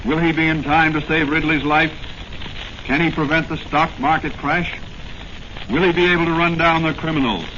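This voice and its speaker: A man's voice: on the high side, steady tone, normal speed, pushed and bright. A man narrating a 1940s radio serial as announcer, in a dramatic, formal style. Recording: noisy, muffled old radio recording.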